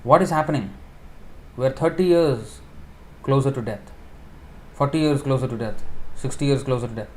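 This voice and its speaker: A man giving a sermon in an informal style, explaining with everyday examples, pausing with fillers and correcting himself as he thinks aloud. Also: medium pitch. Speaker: preacher giving a scripture class.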